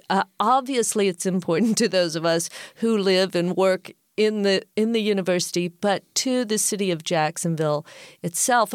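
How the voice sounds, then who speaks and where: A woman hosting an interview, asking questions in a friendly, slightly halting way. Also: medium pitch, clear, resonant tone, no room sound, studio quality. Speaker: host.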